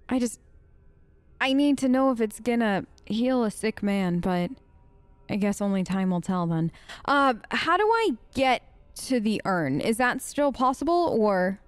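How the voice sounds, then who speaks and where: A woman's voice: a little high, animated, unhurried, pauses often, clear, resonant tone, dry recording. A woman doing casual improvised role-play as a gamer, talking in a hesitant, conversational way close to the mic. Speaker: let's play gamer speaking as her character.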